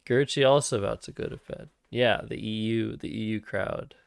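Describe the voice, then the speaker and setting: A man streaming a word game who thinks aloud about his moves in a calm, soothing, soft-spoken way, recorded on a cheap microphone. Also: on the low side, normal speed, no room sound. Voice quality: vocal fry. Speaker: Scrabble streamer. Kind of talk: live-streamed game commentary.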